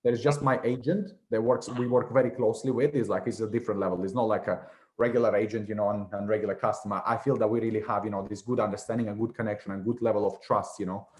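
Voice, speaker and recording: A man's voice: fairly low pitch, fairly even intonation, quick pace, darkish tone. A man in his twenties from Latvia, a native Russian speaker, chatting as an interview guest in a rambling way, often repeating "you know" and "as I said". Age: 20s. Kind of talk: casual interview chat.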